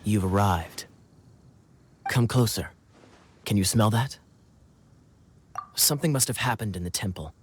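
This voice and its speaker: A man's voice: on the low side, expressive, a little slow, long pauses, a little hiss or hum. A man playing a suave, sly, self-assured young knight in a video game, talking in a wry, theatrical way. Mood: wry, intrigued. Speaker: knight character.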